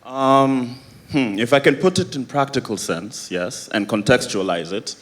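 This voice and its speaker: A man's voice: medium pitch, unhurried. A man from Sierra Leone emceeing a church conference, upbeat and addressing the audience directly.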